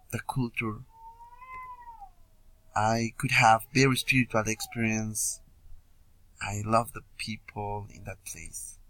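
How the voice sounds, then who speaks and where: A young man from Peru with a Peruvian Spanish accent, introducing himself informally as a student in hesitant learner English with frequent pauses. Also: on the low side, a bit bright, poor audio. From Puno, Peru. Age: young adult. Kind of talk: informal self-introduction video.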